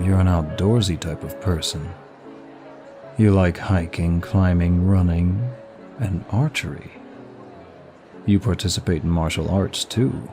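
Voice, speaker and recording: A man playing a suave, seductive demon of lust in an intimate audio roleplay, flattering the listener in a formal, flirtatious manner. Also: low voice. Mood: curious, flirtatious. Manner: smooth, flirtatious, formal, unhurried. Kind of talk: intimate ASMR-style roleplay, one side of a dialogue. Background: music.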